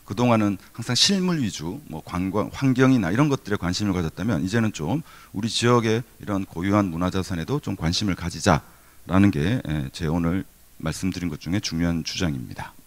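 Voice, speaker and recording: A man's voice: low voice, lively intonation, a little fast. A middle-aged man from Korea's Gyeongsang region giving a keynote lecture at a seminar, conversational, with many fillers and restarts. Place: conference hall.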